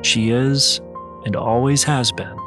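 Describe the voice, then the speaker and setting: A man with a mid-pitched voice narrates a personal essay in the first person. His reading is scripted, and music plays clearly behind him. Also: slow.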